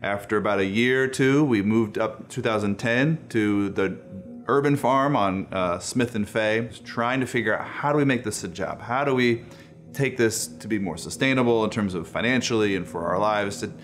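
A man's voice: on the low side, moderate pace. A man in his thirties telling a story casually in a documentary interview, recorded indoors. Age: 30s. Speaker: non-profit co-founder. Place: indoor room, seated interview. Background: music.

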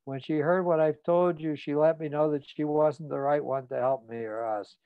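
A man's voice: on the high side, fairly even intonation, normal speed, clear, resonant tone, dry recording, narrow, tinny audio, close to an everyday voice. A man voicing a muted, ashamed confessor. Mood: shamed. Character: ashamed, frightened middle-aged man confessing. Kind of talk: audiobook reading.

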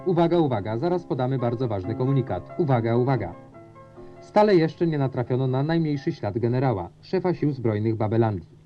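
A man's voice: mid-range voice, lo-fi sound, lightly acted. An actor playing a formal male state radio announcer making an urgent bulletin in a radio drama. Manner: proclaiming, emphatic. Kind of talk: broadcast announcement.

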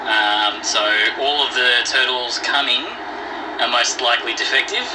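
A man's voice: medium pitch, steady tone, measured pace. An Australian man leaving a casual voice message with easygoing "uh" and "um" fillers. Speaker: listener sending a voice message. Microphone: phone. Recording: phone voice message played back from a distance, noisy.

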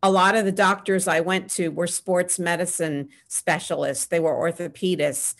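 A middle-aged woman on a video call panel, giving earnest practical advice and often pausing with "um". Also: fairly low pitch, steady tone. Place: small home room with framed pictures. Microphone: webcam on a video call.

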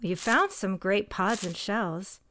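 A woman's voice: mid-range voice, expressive, measured pace, a bit bright, slight background noise. A woman acting a stressed cousin who runs a failing shop, stern and exasperated. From United States. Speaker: shop owner character. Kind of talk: audio drama acting.

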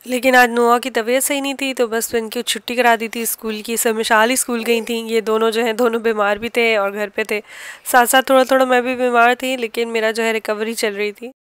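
A woman with a Pakistani accent narrates a household vlog in a chatty, explanatory style. Her voice sits fairly high and is very smooth and clear. The sound is dry, with little room in it. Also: little pitch movement, brisk, a bit bright, no room sound. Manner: chatty, explanatory, homely everyday talk. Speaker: home cooking and family vlogger. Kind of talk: casual vlog voice-over narration.

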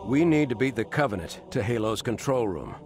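A man's voice: medium pitch, expressive, a little fast, noisy background, close to an everyday voice. A man playing a seasoned, authoritative military captain with a grave manner in a sci-fi video game. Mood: resolute. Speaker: starship captain.

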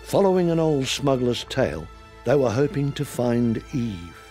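An actor narrating a science fiction audio drama as a grave storyteller. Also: male voice, medium pitch, very animated, a little slow, mellow. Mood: solemn. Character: grave male narrator of a space adventure. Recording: music under the voice.

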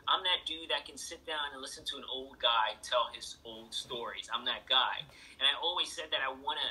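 A man in middle age with a sharp, very bright voice at an ordinary pitch. He talks like a natural storyteller in a casual interview, stressing and repeating his phrases. The sound is that of a video call played from a laptop. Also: moderate pace, rough recording. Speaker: interviewed author and thru-hiker. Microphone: video call played through a laptop speaker.

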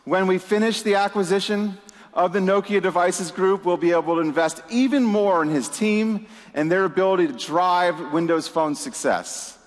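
High-voiced man giving a keynote in a hall. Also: normal speed. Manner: upbeat, emphatic, with "you know" fillers. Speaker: keynote presenter. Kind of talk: conference keynote speech. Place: large conference hall. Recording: reverberant hall with audience.

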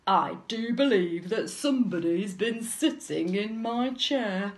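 Storybook Daddy Bear, played by a woman: big, gruff, booming growl, indignant, slow and emphatic delivery. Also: lightly acted.

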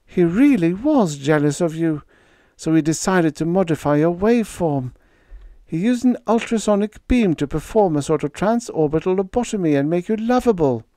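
A man voicing a shrill, excitable young woman who squeals and wails in a very high voice, in a dry close recording. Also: moderate pace, no room sound. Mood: tremulous.

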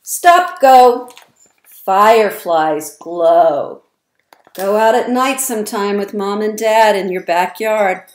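A woman in her fifties reading a picture book aloud to young children, stressing words deliberately and expressively. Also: medium pitch. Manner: slow, deliberate, expressive word emphasis. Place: indoor room at home, by windows. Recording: roomy, echoey webcam sound.

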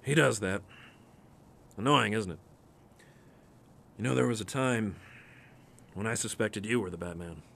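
An actor playing a steady, principled police captain in a noir radio drama, gravelly, measured and earnest. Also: male voice, fairly low pitch, full character voice. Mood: dry, calm.

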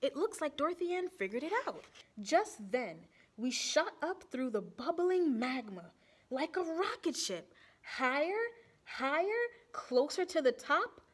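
A young woman reading a children's picture book aloud expressively, deliberately, pausing to ask and explain. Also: sits high, very animated. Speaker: storytime reader for children. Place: small indoor room with bulletin board.

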